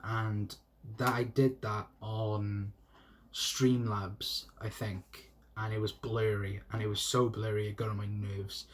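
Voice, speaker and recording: A man's voice: on the low side, flat delivery, a little hiss or hum. A young man with a South Welsh accent, chatting casually on a football live stream from his phone, rambling with many restarts. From South Wales. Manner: rambling, halting with restarts and laughs. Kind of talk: casual live stream chat reading comments. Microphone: phone mic.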